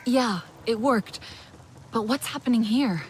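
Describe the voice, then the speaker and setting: An actress playing an earnest, steady young woman warrior in a video game. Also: fairly high pitch, a little slow, a little hiss or hum, natural voice, rough recording. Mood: concerned.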